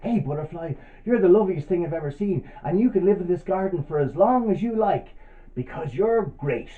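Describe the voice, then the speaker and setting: A man's voice: sits high, lively intonation, a little fast, pitched above the performer's own voice. A man voicing an admiring, enthusiastic old lady in a children's story, gushing as he goes. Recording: thin phone-like sound.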